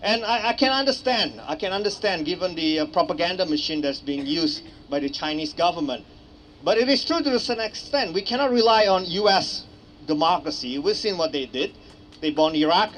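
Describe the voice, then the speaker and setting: A man's voice: high-pitched, fairly bright tone. A man with an Indonesian accent giving an earnest, emphatic political speech as an activist, repeating phrases for effect. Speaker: activist speaker at a rally. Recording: clearly noisy ambient public recording.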